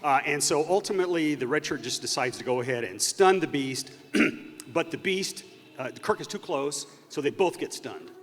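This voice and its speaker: A man telling a story casually on a convention stage, in a halting, rambling way with many false starts, recorded in an echoey hall. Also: on the high side, lively intonation, fairly bright tone. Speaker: panelist recounting unproduced TV episodes.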